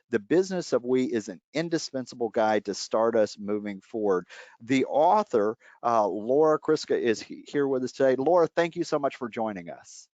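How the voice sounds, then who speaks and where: A man's voice: medium pitch, no echo. A man hosts a podcast in a loose, chatty way, with fillers and laughter. His voice sits in a middle range. The audio is dry and a little dull. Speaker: podcast host. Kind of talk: casual podcast chat.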